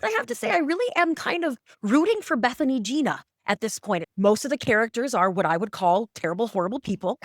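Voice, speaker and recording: Woman, podcast host. Slightly high pitch, casual discussion style, no room echo at all. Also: lively intonation, fast talker, few pauses, studio quality. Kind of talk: casual discussion of a drama episode.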